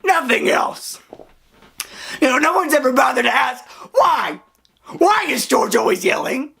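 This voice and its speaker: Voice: high voice, expressive, a little slow, creaky, breathy edge, exaggerated voice. A voice actor doing a sobbing, hot-headed young man. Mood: bitter. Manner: tearful shouting outburst. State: crying. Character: hot-tempered young man with wacky hair, deeply hurt. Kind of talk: dramatic voice acting.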